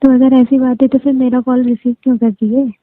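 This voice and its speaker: Woman on a phone call, tinny dry sound, just chatting casually, voice a bit high. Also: little pitch movement, brisk, no room sound. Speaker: caller in a phone conversation. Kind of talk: casual private phone chat.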